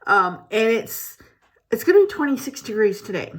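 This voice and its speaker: Husky-voiced chatty woman vlogger. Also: on the high side, sing-song, moderate pace. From Malaysia. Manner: rambling, chatty, with many restarts. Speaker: beauty vlogger. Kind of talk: casual chat to camera. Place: home, indoors.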